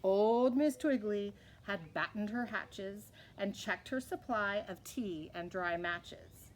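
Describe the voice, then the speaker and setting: Slow-reading woman storyteller, noisy recording. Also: medium pitch. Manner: measured rhythmic delivery of rhyming verse. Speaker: read-aloud storyteller. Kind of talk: expressive children's picture-book reading.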